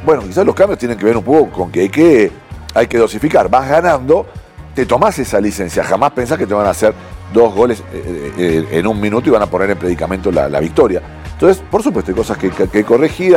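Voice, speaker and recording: Fast, animated man. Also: fairly low pitch. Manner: animated, quick, voseo. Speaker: sports pundit. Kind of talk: studio sports talk.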